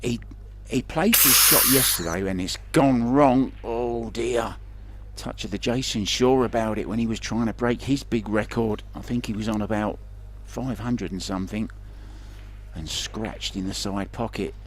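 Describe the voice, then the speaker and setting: A man's voice: lowish voice, a little slow, a little hiss or hum. A man commentating pool remotely, chatty and joking, asking his co-commentator questions. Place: remote booth.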